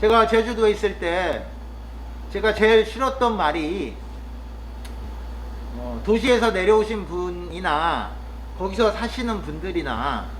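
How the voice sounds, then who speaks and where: A man from Korea's Chungcheong region talking casually and reflectively to the camera, pausing often with fillers as he muses. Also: high-pitched, fairly bright tone, a little hiss or hum, rough recording. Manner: thoughtful, musing, with frequent pauses and fillers. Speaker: vlogger living in the countryside.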